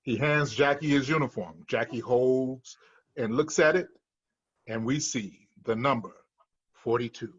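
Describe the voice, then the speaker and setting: A man's voice: medium pitch, a little slow, a bit bright, muffled recording, a little hiss or hum. A man reading screenplay stage directions as scripted narration at a table read.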